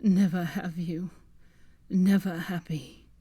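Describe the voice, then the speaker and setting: A woman's voice: fairly low pitch, steady tone, slow pace, mellow, breathy edge. A woman voicing a feverish, dying young man who slurs and whispers in broken phrases. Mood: delirious. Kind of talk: audiobook fan fiction reading.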